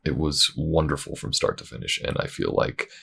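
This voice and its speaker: Male creator with an extremely low voice, casual and enthusiastic, signing off to listeners. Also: fairly even intonation, normal speed, vocal fry, fairly bright tone, husky. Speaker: voice actor and creator. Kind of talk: casual outro addressed to listeners.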